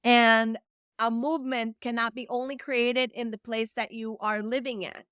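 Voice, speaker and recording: Bright, crisp Salvadoran woman coach. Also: a little high, level tone, moderate pace, no room sound, studio quality. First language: Spanish. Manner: earnest, encouraging, stretches key words for emphasis. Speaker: event producer and coach. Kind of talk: direct-to-camera motivational talk.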